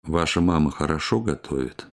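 Very deep voice reading drill answers. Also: expressive. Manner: slow, clear, carefully articulated. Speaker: language course speaker. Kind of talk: scripted language drill.

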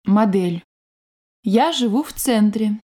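A woman reading scripted sentences and exercise prompts for a language course in a clear, measured way. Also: medium pitch, expressive, warm tone. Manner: clear, measured, textbook delivery.

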